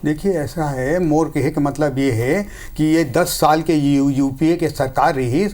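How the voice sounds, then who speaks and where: A man's voice: on the high side, unhurried, darkish tone. A man with a Chhattisgarhi accent speaking as a party spokesperson in a political debate, assertive and restating his points.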